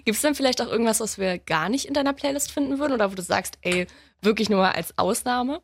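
A woman's voice: a little high, lively intonation, fast talker, slight background noise. A woman radio host casually interviewing a musician, chatty and using youthful slang.

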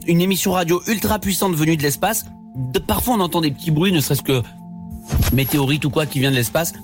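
Man, radio host, jokey, laughing, loose storytelling, slightly high-pitched, faint music bed. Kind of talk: lively radio banter.

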